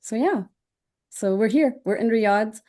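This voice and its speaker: A woman's voice: a little high, animated, measured pace, mellow. A woman in her thirties from Jordan, answering interview questions in an upbeat, informal, chatty way. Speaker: interview guest, online business owner.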